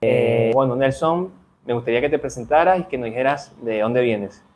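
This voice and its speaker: A man's voice: mid-range voice, moderate pace, a little mellow, slight background noise. A man hosting an interview, attentive and conversational, prompting with short replies. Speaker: interview host.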